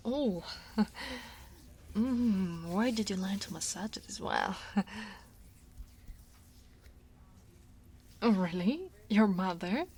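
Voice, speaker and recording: A woman playing a breathy, guarded dragon coworker. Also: medium pitch. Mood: relaxed. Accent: Italian. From Italy. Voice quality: soft, often whispered delivery. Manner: wry, self-deprecating, with frequent sighs and soft laughs. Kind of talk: ASMR roleplay.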